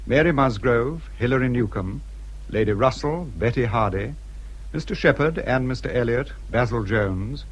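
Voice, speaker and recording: A man's voice: medium pitch, lively intonation, low-quality recording. Formal British male radio announcer. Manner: measured, formal. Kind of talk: formal scripted credits reading.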